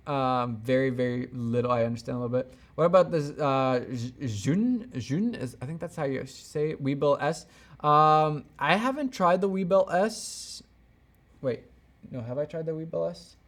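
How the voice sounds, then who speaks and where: A man's voice: fairly high pitch, expressive, moderate pace. A man hosting a casual livestream as a camera gear YouTuber, rambling and thinking aloud as he gives opinions and answers viewers. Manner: off-the-cuff, rambling, thinking aloud, backtracking mid-thought.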